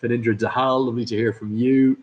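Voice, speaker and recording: A man's voice: medium pitch, flat delivery, quick pace, muffled recording. A man hosting a live cricket watchalong, commentating and chatting through viewer comments. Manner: chatty, upbeat, reads out viewers' names and greetings. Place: at a cricket club.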